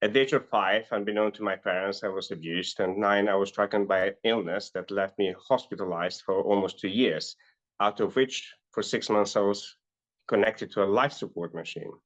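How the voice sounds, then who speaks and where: A middle-aged man from North Macedonia, a life and business coach, earnestly telling his life story as an interview guest, often pausing on "uh". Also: lowish voice, steady tone, highs cut off. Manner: earnest, with frequent "uh" fillers.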